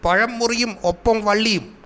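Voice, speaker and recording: A man voicing a cunning, grumbling trickster animal in a cartoon comedy, animated and full of schemes. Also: sits high, a little slow. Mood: scheming, smug. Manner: animated, exasperated, explains schemes with sound words. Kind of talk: Malayalam children's cartoon comedy acting.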